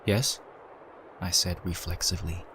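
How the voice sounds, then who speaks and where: A man reading a dark story aloud in a measured, dramatic narration. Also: deep voice, steady tone, a little hiss or hum.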